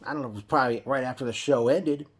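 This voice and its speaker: A man's voice: medium pitch, very fast. Rambling New man. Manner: rambling, with frequent restarts and self-corrections. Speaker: wrestling fan vlogger. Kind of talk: casual spoken reaction vlog.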